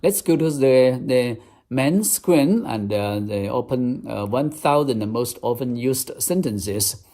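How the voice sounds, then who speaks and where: A man's voice: medium pitch, expressive, slow pace, mellow. Earnest man with a Chinese accent. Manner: earnest, step-by-step, repeats phrases. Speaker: English teacher. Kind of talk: app demonstration tutorial.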